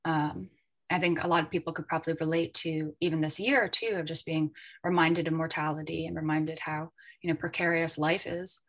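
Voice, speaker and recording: A woman's voice: low-pitched, steady tone, a little fast, a bit bright. A woman speaking as an editor on a panel, informal and reflective, feeling her way through her thoughts in conversation. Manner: reflective, informal, tentative phrasing. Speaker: editor, panel guest at a literary festival.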